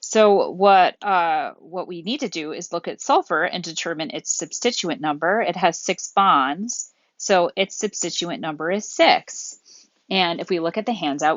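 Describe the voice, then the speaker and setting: A woman with a lowish voice lectures at a very unhurried pace. She explains things methodically, one step at a time, as if working at a board. The sound is dry and slightly dull. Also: no echo. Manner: methodical, deliberate, step-by-step phrasing. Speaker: chemistry lecturer. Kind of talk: explanatory lecture, thinking aloud while drawing.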